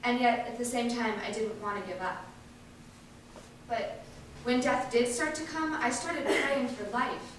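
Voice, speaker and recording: A woman giving an earnest, emphatic dramatic reading of a first-person monologue, with deliberate pauses. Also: fairly high pitch, steady tone. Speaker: reader performing an activist's first-person testimony. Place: large indoor event space, distant microphone. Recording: echoey hall from far off, faint noise.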